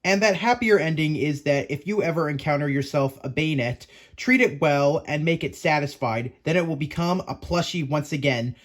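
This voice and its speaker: A man's voice: on the high side, normal speed, hardly any pauses. A man hosting a scripted creature-lore video, narrating in a chatty, theatrical storytelling manner that speaks straight to the listener.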